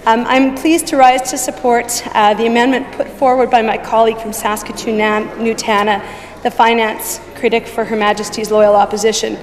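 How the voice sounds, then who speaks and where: A woman with a croaky, hoarse voice giving a formal speech as an opposition member in a legislature, measured and deliberate. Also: a little high, flat delivery. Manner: measured, deliberate, with some restarts. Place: legislative chamber. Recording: long reverb in a large chamber.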